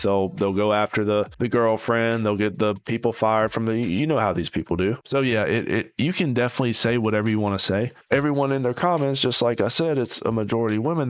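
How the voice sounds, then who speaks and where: A man with a fairly deep, clean and resonant voice talks casually as a video commentator. He is opinionated and critical, rambling through his points as he hosts. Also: clear, resonant tone. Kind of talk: casual reaction commentary to camera.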